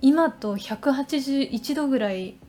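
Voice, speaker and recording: High-voiced, eager woman podcaster over faint music. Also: slow, dark tone. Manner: eager, insistent, talkative. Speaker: podcast co-host. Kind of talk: casual chat.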